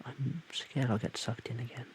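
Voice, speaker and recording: A man's voice: medium pitch, fairly even intonation, normal speed, lightly acted. A man voicing a shy, awkward, friendly slime creature, stammering hesitantly in an audio roleplay addressed to the listener. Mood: awkward, earnest. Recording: hissy background noise.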